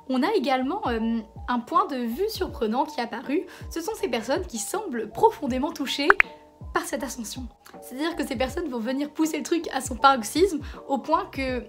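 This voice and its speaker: A hoarse woman with a cold hosting a casual commentary video, talking conversationally with hesitant restarts. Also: high voice. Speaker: video essayist host.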